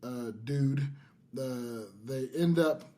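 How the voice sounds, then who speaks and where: Halting, self-deprecating male podcast host. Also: medium pitch, mellow. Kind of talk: casual podcast storytelling. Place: in-person podcast recording in Las Vegas.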